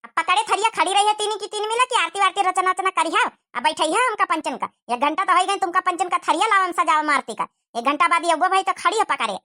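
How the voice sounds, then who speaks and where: Woman voicing a shrill, hot-tempered Awadhi auntie. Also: quick pace, hardly any pauses, bright, forceful tone. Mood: indignant. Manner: complaining, haughty. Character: hot-tempered, demanding elder sister visiting as guest. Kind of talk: exaggerated cartoon comedy dialogue.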